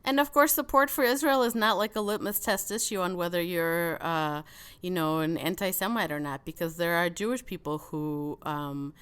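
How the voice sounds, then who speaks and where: A woman with a mild Chicago accent talks as an interview guest, her voice smooth and clear and pitched a little low. She rambles casually, full of "you know" and restarts. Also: moderate pace, fairly bright tone. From Soviet Union. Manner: chatty, many fillers and restarts, laughs between thoughts.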